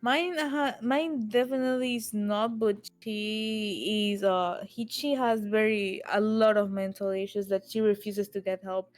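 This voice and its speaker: A young woman, Spanish a first language, chatting as a guest, soft and slightly halting, laughing along. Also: medium pitch, no room sound. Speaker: guest streamer. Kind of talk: casual chat.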